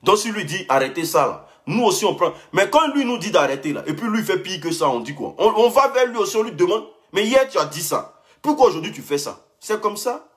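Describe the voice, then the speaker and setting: A man's voice: high-pitched, very animated, fairly quick, fairly bright tone. A young man, ranting heatedly on a live stream and repeating himself as he answers a rival. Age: young adult. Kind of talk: casual live-stream rant.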